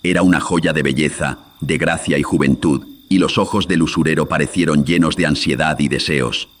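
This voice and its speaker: Male horror narrator, rock-bottom pitch, processed, formal and solemn, over light noisy atmosphere. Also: fairly even intonation, moderate pace. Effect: filter-processed voice. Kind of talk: scripted horror story narration. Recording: background noise and sound design.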